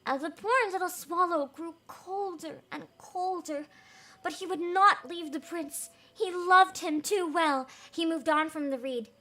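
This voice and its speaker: A woman's voice: very high voice, measured pace, pushed and bright. A woman reading a fairy tale aloud as host, in an emotive, giggly way with casual reactions.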